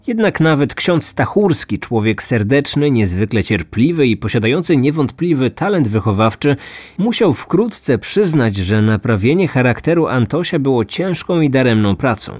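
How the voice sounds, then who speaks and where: A man's voice: medium pitch, big pitch swings, normal speed, a little mellow, breathy edge, no echo. A man narrating a scripted true-crime story as a podcast host.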